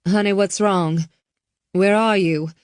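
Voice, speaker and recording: A woman playing a steady cop mother. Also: medium pitch, slow pace, clean tone, no echo, clean studio sound, natural voice. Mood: urgent calm. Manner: urgent, forcing calm.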